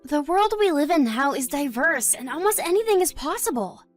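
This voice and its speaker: A woman playing a gentle, modest young wife in a scripted audio story, talking in a reassuring, explanatory way. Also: high voice, big pitch swings, normal speed, faint music behind.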